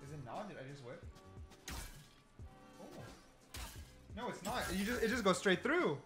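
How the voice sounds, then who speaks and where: Male gaming creator, animated, slightly high voice, casual think-aloud delivery with emphatic repetition. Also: normal speed, music in the background. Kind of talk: casual gameplay commentary. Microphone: desk mic, sometimes turns away from it.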